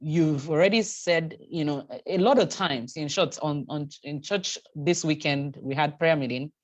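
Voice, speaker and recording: A middle-aged woman with a notably low voice, whose first language is Yoruba, talks someone through their doubts. She repeats her points and leans on everyday stories to encourage. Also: normal speed, highs cut off. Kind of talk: one-on-one coaching chat.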